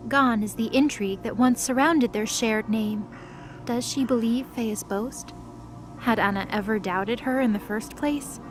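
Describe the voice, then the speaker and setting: A woman's voice: on the high side, a little slow. A woman narrating a scripted audio drama as a storyteller. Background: music.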